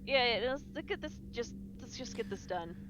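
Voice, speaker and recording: A woman's voice: fairly high pitch, expressive, normal speed, fairly bright tone, lo-fi sound. A woman talking casually as a tabletop game player, blunt and given to swearing. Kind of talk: casual in-character game chat. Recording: faint background noise on live stream.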